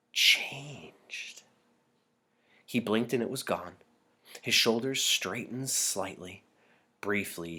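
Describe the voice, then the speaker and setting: Low-voiced man narrating a novel. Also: bright, forceful tone, breathy edge. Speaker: narrator reading a novel aloud. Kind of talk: scripted narration, expressive read-aloud.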